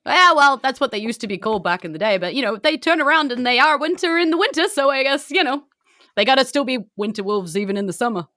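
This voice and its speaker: A woman's voice: high-pitched, expressive, fast talker, pushed and bright, no room sound, studio quality, voice pushed up. A woman playing a chatty, informal male barkeep in a tabletop game, explaining things hesitantly. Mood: hedging, explaining. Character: chatty male small-town barkeep, protective of local reindeer.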